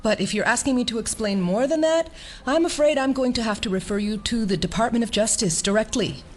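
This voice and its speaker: A woman's voice: fairly high pitch, fairly quick, a little hiss or hum, natural voice. A woman playing an officious, smug senior government bureaucrat in an audio drama, clipped and dryly condescending. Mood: coolly smug.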